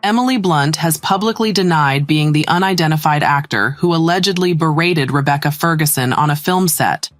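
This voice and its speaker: A woman narrating scripted celebrity news in a measured, formal read-aloud manner. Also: deep voice, a bit bright, no echo. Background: background music.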